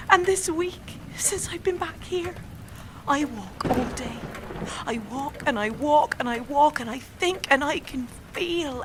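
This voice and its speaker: A young woman acting in an audio drama as a fragile, fervent, exhausted actress, halting and repeating herself. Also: sits high, background noise. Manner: halting, repetitive, self-correcting, intense.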